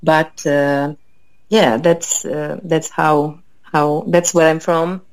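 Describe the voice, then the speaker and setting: A woman from Poland with a Polish accent, a guest in a relaxed interview, pausing often with fillers as she thinks aloud. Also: deep voice, no echo. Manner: hesitant, many fillers, thoughtful. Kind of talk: conversational interview.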